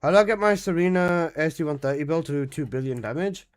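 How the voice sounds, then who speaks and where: A man in his twenties, casually chatting on a live game stream, reading out chat messages and protesting loudly, with casual swearing. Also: fairly high pitch, fast talker. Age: 20s.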